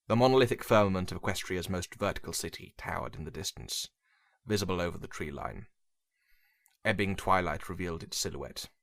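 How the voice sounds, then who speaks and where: Man, dramatic story narrator, deep voice, creaky fry, measured and brooding. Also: no room sound, studio quality.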